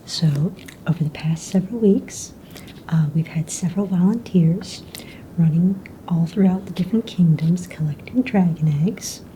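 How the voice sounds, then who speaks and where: A woman playing a calm, businesslike young fantasy egg hunt official in a breathy, soft-spoken ASMR roleplay, casual and matter-of-fact. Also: fairly low pitch, moderate pace, a little hiss or hum, close to an everyday voice, rough recording. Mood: calm, polite. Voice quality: breathy, whispery.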